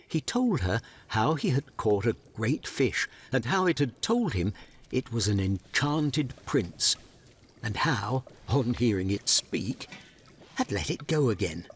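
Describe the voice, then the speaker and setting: A man narrating a fairy tale audio drama in a measured, dramatic storybook style. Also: fairly low pitch, big pitch swings, husky.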